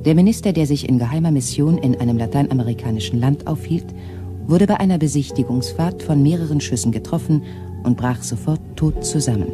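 An actor playing a male radio announcer who reads a special bulletin in a formal, even manner. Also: fairly high pitch, a little fast, over music, close to an everyday voice. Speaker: radio newsreader.

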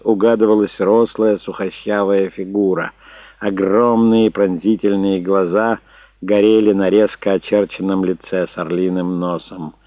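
A man's voice: on the low side, no echo. A man reading a novel aloud as a dramatic, scripted audiobook narration. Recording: dull narrow phone-like sound.

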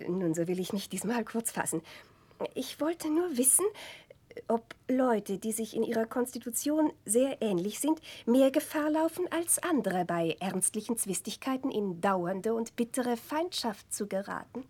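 An actress playing an intense, bitter, sharp-tongued gentlewoman in a 1960s German radio play, probing with feigned-naive questions in a breathy, urgent voice. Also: on the high side, lively intonation, a little slow. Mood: sly, pointed.

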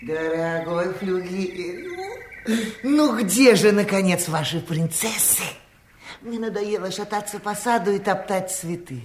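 A man's voice: high-pitched, measured pace, echoey room, a little hiss or hum. A man playing a vain, coquettish, aging prince in a fairy-tale audio play, affected and whining. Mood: whining, petulant.

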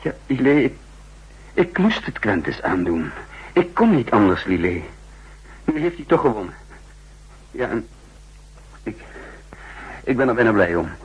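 An actor playing an intense, guilt-ridden double agent who narrates his own downfall in a 1960s Dutch radio drama, with a husky voice and deliberate dramatic delivery. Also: lowish voice, big pitch swings, dull audio, heavily acted. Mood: urgent, emotional. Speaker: lead actor and first-person narrator.

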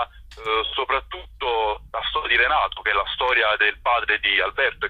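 A man's voice: on the low side, husky. A man, a theatre director being interviewed, explaining things spontaneously in a discursive, enthusiastic way. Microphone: telephone. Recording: muffled, roomy telephone line on radio.

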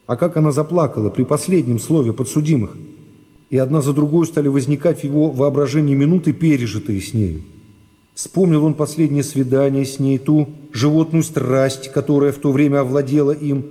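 A man narrating a classic novel for a radio serial in an expressive, dramatic way, with deliberate pauses. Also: mid-range voice, breathy edge. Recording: music behind the voice. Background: music.

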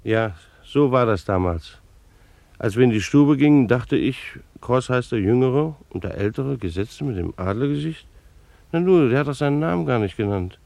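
Creaky-voiced, fussy old retired official. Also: male voice, mid-range voice, animated, normal speed, a little mellow, no room sound. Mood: thoughtful. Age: 60s. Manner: formal, reflective, old-fashioned official's wording. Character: retired bailiff and beekeeper, 65, dutiful, wounded, fussy. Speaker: actor narrating in character. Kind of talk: German radio play monologue and dialogue.

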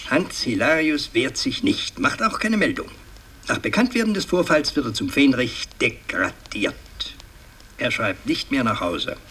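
An actor playing a pompous, fussy older aristocratic patriarch in a radio drama, speaking in a halting, ceremonious, long-winded way. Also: male voice, a little high, a bit bright. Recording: distant, noisy 1960s radio recording.

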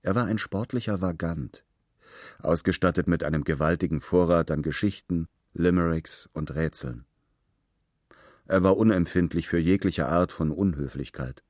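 A man's voice: low voice, normal speed, a little mellow, no room sound, studio quality. A man narrating literary prose in a scripted radio drama style.

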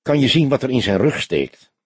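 A man's voice: mid-range voice, big pitch swings, moderate pace, slightly rough, dry recording, pitched above the performer's own voice. A man voicing a patient, mocking, authoritative grey-haired old police detective in an audiobook. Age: older.

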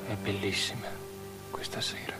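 An actor playing a lovestruck young officer entranced by a princess, in poetic, rapt, incantatory radio drama style. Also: male voice, moderate pace, in a whisper, close to an everyday voice. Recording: radio drama over loud music.

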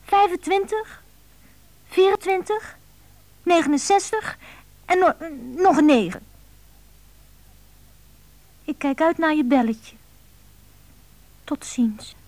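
A woman's voice: extremely high pitch, slow, long pauses, a little mellow, no room sound. An actress playing a lovelorn, needy woman with a pleading, emotional manner in a radio drama. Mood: reproachful.

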